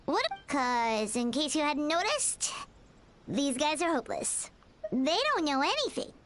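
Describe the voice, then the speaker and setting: A woman voicing a small, excitable and cheeky childlike fairy companion in a video game. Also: high voice, a little slow, faint music behind, heavily acted. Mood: skeptical, exasperated.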